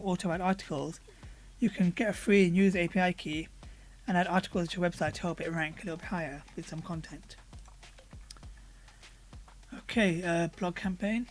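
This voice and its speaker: A hoarse man reviewing a software tool, casually talking through a screen recording with frequent fillers. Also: sits high. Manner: relaxed, conversational, with frequent fillers. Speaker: product reviewer.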